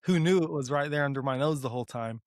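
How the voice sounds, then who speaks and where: A man in his thirties from Texas, talking eagerly and earnestly as an interview guest, full of "like" fillers and quick restarts. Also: medium pitch, no echo, studio quality. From Dallas, Texas, US. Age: 30s. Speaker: guest, applied research leader. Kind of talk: conversational interview.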